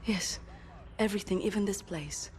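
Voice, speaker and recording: A studious woman as an actress plays her, mid-pitched and methodical. She explains things carefully and a little hesitantly, in game dialogue with faint ambient noise. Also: moderate pace, darkish tone, lightly acted. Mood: focused. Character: studious, soft-spoken resistance researcher woman, methodical. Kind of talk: dramatic video game acting.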